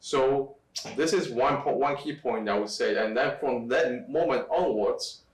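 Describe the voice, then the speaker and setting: A middle-aged man from Taiwan speaks in a halting, restarting way as an interview guest. His voice sits back from the microphone in a roomy, echoing space. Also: mid-range voice. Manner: halting, many restarts and fillers. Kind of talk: conversational interview. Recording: echoey room, distant from mic.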